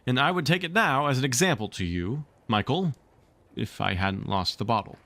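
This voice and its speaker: A man's voice: medium pitch, expressive, moderate pace, sharp tone, dry recording, voice pushed up. A man voicing a vain, cowardly middle-aged storybook father with pompous, indignant delivery. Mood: falsely brave.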